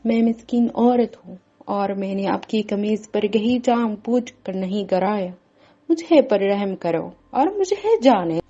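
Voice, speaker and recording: A woman's voice: medium pitch, expressive, warm tone, dull audio. A woman playing a poor, frightened street seller in a cartoon story, speaking meekly and pleadingly. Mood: pleading, tearful. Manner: pleading, meek, tearful.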